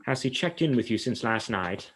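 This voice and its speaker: A man's voice: mid-range voice, animated, normal speed, a bit bright, slightly rough. A man acting in a radio play as a solemn, haunted psychiatrist who speaks formally and ominously. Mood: guarded, formal. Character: grave, haunted male psychiatrist who fears the supernatural.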